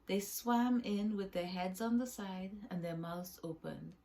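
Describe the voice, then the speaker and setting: A woman's voice: mid-range voice, steady tone, unhurried, darkish tone. A woman reading her own children's book aloud as a storyteller, with short asides to the listener.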